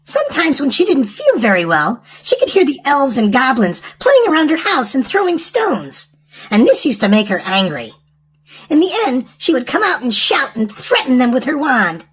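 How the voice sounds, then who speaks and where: A woman reading a storybook aloud to young children in an animated, sing-song way. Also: sits high, moderate pace, a little hiss or hum. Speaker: children's storytime host and reader.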